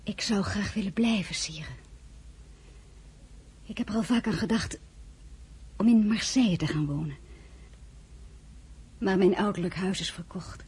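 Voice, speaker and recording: An actress playing a shy yet defiant princess in a radio drama, answering politely and pointedly. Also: female voice, mid-range voice, a little slow, long pauses, slightly rough. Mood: meek, firm. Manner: polite, quietly pointed replies. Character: shy yet defiant princess, a marshal's wife at Napoleon's court. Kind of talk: Dutch radio drama dialogue.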